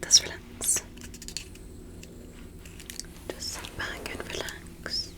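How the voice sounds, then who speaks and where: A woman voicing a gentle alien doctor in an ASMR roleplay, speaking slowly and soothingly in a soft, breathy whisper. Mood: soothing, attentive. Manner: slow, deliberate, soothing, polite. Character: gentle, reassuring alien doctor giving a medical exam. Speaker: medical examiner.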